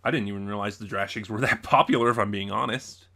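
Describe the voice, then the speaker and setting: A man's voice: fairly low pitch, fast talker, gravelly, bright, forceful tone. A man hosting a casual review video, speaking in a conversational, opinionated way straight to the audience. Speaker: video reviewer and channel host.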